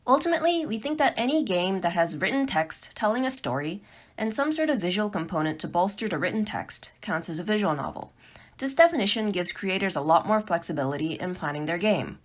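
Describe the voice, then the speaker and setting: A woman's voice: mid-range voice, sing-song, a little fast. A woman presenting a scripted, pre-recorded instructional talk as an amateur developer.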